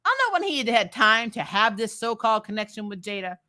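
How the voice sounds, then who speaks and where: A woman's voice: on the high side, very animated, fast, sharp tone. A woman giving casual, opinionated commentary as a recap vlogger, sarcastic and exasperated, with slangy talk and some swearing. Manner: acts out characters' lines mockingly.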